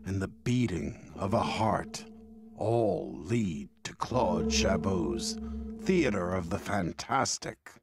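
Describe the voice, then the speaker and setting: A man hosting and narrating a radio ghost-story show with dramatic, hushed storytelling. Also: sits low, expressive, slow pace, creaky. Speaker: narrator and host.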